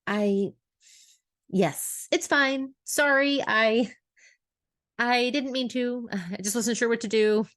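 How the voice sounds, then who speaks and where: A woman voicing an anxious, flustered aunt in a dramatic audio story, halting and emphatic. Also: on the high side, huge pitch swings, no echo, studio quality, pitched above the performer's own voice, exaggerated voice. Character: anxious, flustered mother-figure aunt, irritable and overwhelmed.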